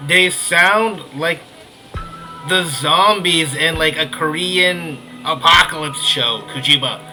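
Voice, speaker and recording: A man giving casual, excitable reaction commentary on a video game, slangy and prone to repeating himself. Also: on the high side, takes it slowly, faint music behind, rough recording.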